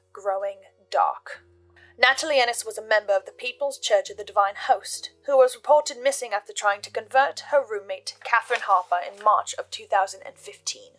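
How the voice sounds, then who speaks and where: A woman giving intense, theatrical scripted commentary with emphatic pauses, like a host laying out a theory. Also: fairly high pitch, a bit bright, poor audio. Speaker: host of a reaction and theory channel. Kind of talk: dramatic scripted commentary on a fiction podcast. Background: background music.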